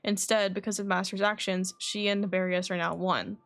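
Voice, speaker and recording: A woman voicing a composed, scholarly young male alchemist from a video game, reading his dialogue in a measured, formal, reflective way. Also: medium pitch, little pitch movement, gravelly, fairly bright tone. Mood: measured, earnest.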